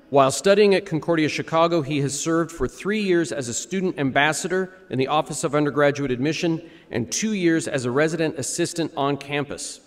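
A man reads a formal speaker introduction in a measured, ceremonial way. His voice sits slightly high for a man. It carries the long reverb of a large auditorium. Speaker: ceremony presenter. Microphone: lectern microphone.

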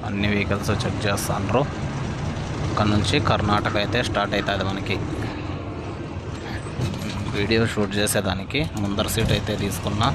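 A man narrating a casual bus travel vlog, chatting to his viewers as friends. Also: lowish voice. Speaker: travel vlogger. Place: on a bus and at bus stands, outdoors. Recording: phone audio with loud road noise.

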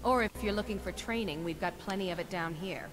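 A woman's voice: medium pitch, fairly quick, long reverb tail, lightly acted. A woman playing a streetwise lookout for a thieves' guild in a fantasy video game. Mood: matter-of-fact. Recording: noisy game capture with ambience.

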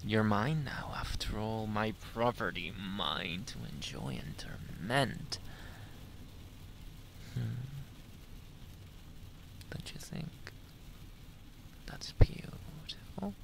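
A man's voice: on the low side, expressive, exaggerated voice, low-quality recording. Man playing a whispering, possessive yandere lover boy. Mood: possessive, teasing. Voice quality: breathy, whispery, creaky. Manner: slow, lingering, teasing endearments with soft chuckles. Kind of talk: ASMR roleplay, intimate whispered monologue.

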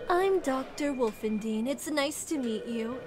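A woman's voice: high-pitched, expressive, measured pace. A woman playing a polite, matter-of-fact professional in an anime comic dub. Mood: composed. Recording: music behind the voice.

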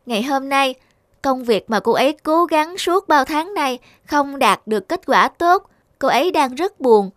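A woman's voice: sits high, expressive, measured pace, a bit bright, dry recording, clean studio sound. A woman voicing a small child cupid in a cartoon, curious, earnest and polite like a pupil. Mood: earnest, thoughtful. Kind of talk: cartoon dubbing.

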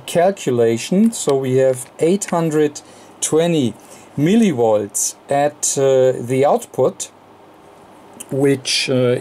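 A man hosting an unscripted technical demonstration at an indoor workbench, speaking haltingly with frequent hesitations mid-phrase. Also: medium pitch, mellow. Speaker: electronics tutorial host.

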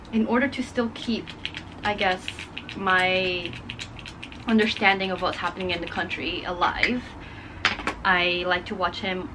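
A woman's voice: medium pitch, background noise. A woman in her twenties, a native Portuguese speaker, chatting casually to the camera in her bedroom, rambling with lots of fillers. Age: 20s. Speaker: vlogger. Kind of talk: casual vlog chat. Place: bedroom at home. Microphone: camera on a desk in front.

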